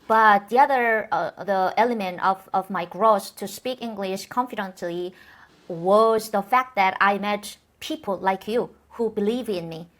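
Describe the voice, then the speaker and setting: A woman's voice: mid-range voice, a bit bright. Woman speaking careful Korean-accented English. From North Korea. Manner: careful, halting English with frequent restarts. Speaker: host. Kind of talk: conversational interview.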